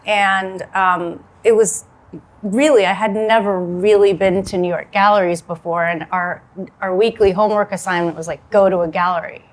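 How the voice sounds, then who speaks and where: A woman in her forties from the United States, a novelist thinking aloud in a relaxed, reflective way and filling her talk with "like" and "you know". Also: mid-range voice, moderate pace. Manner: reflective, loose with many likes and you knows. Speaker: novelist in a panel conversation. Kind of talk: casual conversation.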